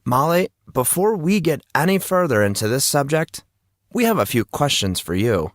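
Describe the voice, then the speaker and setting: Male narrator as teenage schoolboy, brash, inquisitive, slangy, higher pitch, audiobook dialogue. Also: very animated, normal speed, dry recording, studio quality. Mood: probing.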